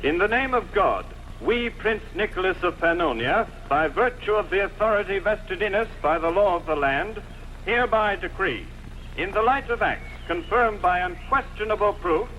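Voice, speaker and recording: A stern royal official acted by a man proclaims judgment in a formal, stately delivery. The voice is high for a man, with a forceful, bright edge. It sits in a dull fan-dub recording of a costume film. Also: expressive, moderate pace, slight background noise. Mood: solemn, condemning. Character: solemn royal official reading out a death sentence.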